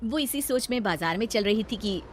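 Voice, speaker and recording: A woman's voice: fairly high pitch, lively intonation, moderate pace, a little hiss or hum. A woman narrating a scripted moral story as the storyteller.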